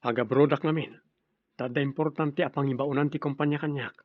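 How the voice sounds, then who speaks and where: A man's voice: medium pitch, steady tone, brisk, no room sound, phone-quality sound, natural voice. A man with a Filipino accent playing an earnest, dutiful grown son and suitor in an Ilocano radio drama. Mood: earnest, respectful.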